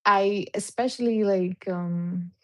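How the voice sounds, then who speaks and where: A woman's voice: medium pitch, slow pace, fairly bright tone. A woman guest on a video call, talking reflectively and casually, with many fillers. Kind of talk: casual conversation on a live stream.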